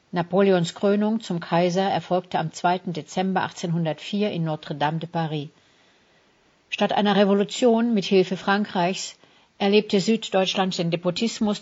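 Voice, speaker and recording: Low-pitched woman narrating a scripted essay. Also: a little mellow, muffled recording.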